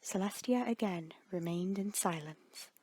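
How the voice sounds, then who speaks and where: A woman's voice: fairly low pitch, unhurried, a little mellow, husky, no room sound, low-quality recording. A woman reading fan fiction aloud as a scripted, dramatic story narrator. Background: background music.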